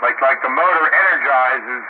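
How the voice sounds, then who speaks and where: A man's voice: medium pitch, normal speed. A middle-aged or older American man chatting casually about technical matters in a colloquial, folksy way over ham radio. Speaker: amateur radio operator. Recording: narrow, phone-like ham radio audio, static noise.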